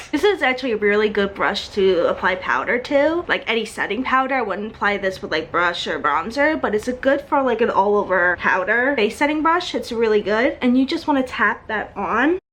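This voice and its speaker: A woman's voice: a little high, fairly bright tone. Chatty young woman doing her makeup. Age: young adult. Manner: chatty, frequent "like" fillers. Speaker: beauty vlogger, esthetician. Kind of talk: casual makeup tutorial narration.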